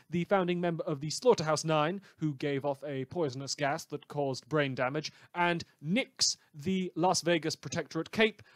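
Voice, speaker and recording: A man's voice: fairly high pitch, fairly bright tone, dry recording, clean studio sound. A man playing a smug, rambling small-town radio news host in a fan audio drama, theatrical and wry, repeating phrases for emphasis. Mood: arch, insinuating.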